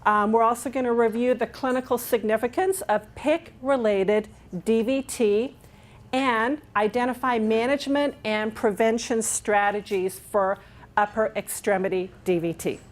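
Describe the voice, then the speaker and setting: A woman's voice: a little high, moderate pace. A middle-aged woman from England, with no trace of an accent, giving a nurse education talk in a dining room, upbeat and chatty and often asking the audience to agree. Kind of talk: conference presentation. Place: dining room with large windows.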